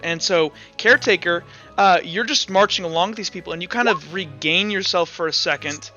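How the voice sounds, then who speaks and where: A man speaking as game master, improvising narration in an informal, halting way with repeated and restarted phrases. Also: on the high side, bright, forceful tone. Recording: muffled online voice call with background music.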